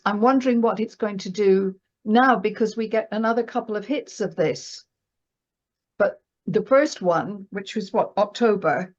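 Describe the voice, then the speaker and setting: A woman's voice: mid-range voice, dull audio. A woman speaking as a guest market analyst in a casual, chatty conversation, often checking for agreement with little tag questions.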